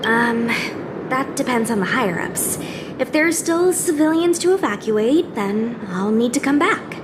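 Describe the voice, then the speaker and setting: High-voiced young knight girl. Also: animated, unhurried, background noise. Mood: earnest, reassuring. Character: earnest, helpful young female knight soldier. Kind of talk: video game cutscene dialogue.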